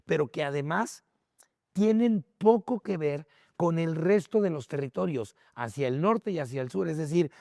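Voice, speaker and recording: Higher-voiced Mexican man explaining, dry sound. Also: animated, normal speed, creaky, dark tone, dry recording. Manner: didactic, checks in with short tag questions. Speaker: guest expert on history. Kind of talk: conversational explanation in a podcast interview.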